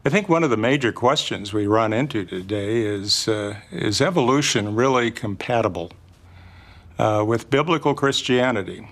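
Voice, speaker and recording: An older man gives his view in a documentary interview. He is firm and deliberate, with a somewhat low voice. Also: lively intonation, creaky, a bit bright.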